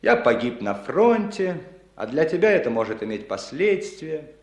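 A man's voice: a little high, big pitch swings, moderate pace, darkish tone. An actor playing a weary, fatalistic male defector, resigned yet urgent, in a 1960s radio play. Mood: resigned, warning. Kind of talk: 1960s radio drama acting. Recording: roomy, echoing studio sound.

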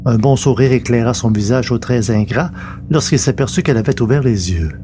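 A man's voice: medium pitch, fairly quick, background noise. A man reading scripted narration for an audio story, as its narrator. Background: loud music.

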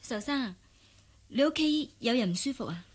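A woman with a Hong Kong Cantonese accent acting in a radio drama as a gentle, caring secretary with a soft, coaxing way of talking. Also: fairly high pitch, animated, moderate pace, slight background noise, natural voice. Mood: concerned. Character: gentle, caring female secretary posing as a mother.

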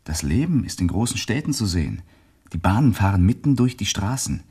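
An actor playing a sober, ambitious young man in a German radio play, earnest and firm, with a husky voice. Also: lowish voice, big pitch swings, brisk, a little mellow, exaggerated voice. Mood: dreamy.

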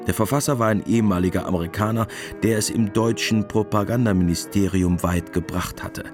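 A man with a deep voice narrates a radio play from a script. His delivery is matter-of-fact, and music plays clearly behind him. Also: fairly quick.

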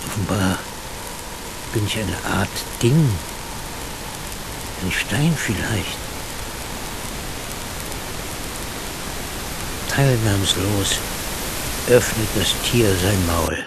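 Radio drama: a cerebral, musing narrator figure, measured and slow, slightly deep with a rough grain. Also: male voice, heavy background noise. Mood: uneasy, dreamlike. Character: pensive man musing on mind, matter and dreams. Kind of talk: literary radio play monologue.

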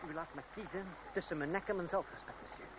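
A proud, sharp-tongued young gentleman acted by a man speaks in a high male voice. He is formal and dryly ironic, in old radio drama style. The sound is dull, with clear noise behind it. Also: fast talker, lightly acted. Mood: wry. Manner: dry, ironic, formally polite. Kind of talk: old Dutch radio play acting.

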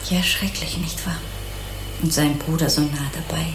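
Woman acting kindly naive housewife, lower register, chatty, sympathetic, crime radio drama, echoing room. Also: steady tone, moderate pace, natural voice. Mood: sorrowful sympathy. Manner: obliging, artless, rambling, sympathetic. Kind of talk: 1980s German crime radio play dialogue.

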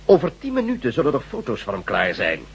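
A man playing an impatient, sardonic resistance leader in a Dutch radio drama, brisk and authoritative. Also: medium pitch, huge pitch swings, creaky, breathy edge, full character voice. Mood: threatening. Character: commanding, impatient male leader of a resistance group. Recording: dull, muffled radio play recording.

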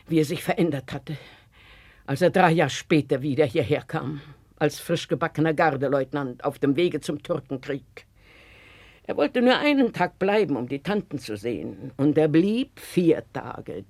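Elderly female housekeeper, bitter and watchful, measured storyteller with pointed pauses, close dry 1960s radio drama sound. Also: low-pitched, darkish tone, no echo, full character voice. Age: older. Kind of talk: 1960s German radio play acting.

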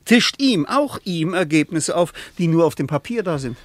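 Actor as animated, insistent sceptical man. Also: a little high, normal speed, dry recording, natural voice. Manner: insistent, rhetorical, emphatic. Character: male traveller, insistent sceptical debater of politics. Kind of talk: German radio play dialogue.

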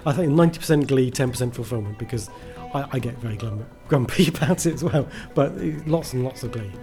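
A man's voice: medium pitch, fairly quick, warm tone, soft background music. A man chatting casually as an interview guest, a writer who is enthusiastic, rambling and self-deprecating.

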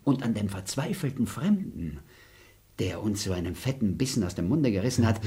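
Scheming robber servant, crude, fawning, laughing, very lively pitch, old radio play. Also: male voice, normal speed. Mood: boastful. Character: scheming young male robber servant, Michel Stich. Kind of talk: 1960s radio play acting.

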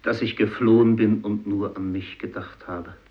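A man acting a remorseful fisherman survivor in a 1950s radio play, solemn and halting, as if praying and warning. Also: lowish voice, flat delivery, natural voice. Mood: remorseful, pleading. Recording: dull old radio recording.

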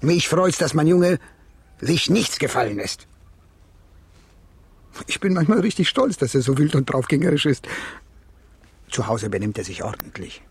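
Very raspy, proud, troubled father. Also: fairly high pitch, sing-song, normal speed, long pauses, heavily acted. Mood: proud, agitated. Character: proud, defensive middle-aged father, rough and troubled. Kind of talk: German radio play acting.

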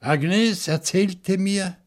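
Slow-talking, bookish old bachelor. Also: male voice, high-pitched. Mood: probing. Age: older. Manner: deliberate, thoughtful, with hesitant pauses. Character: bookish, lonely old French bachelor, probing and cautious. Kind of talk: German radio crime play acting.

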